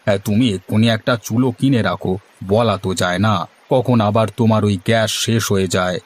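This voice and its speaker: A man's voice: fairly low pitch, brisk, lightly acted. A man voicing soothing, persuasive village men who explain and advise, in a Bengali fairy-tale cartoon. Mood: coaxing. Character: placating village husband and kindly advising doctor. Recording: music under the voice.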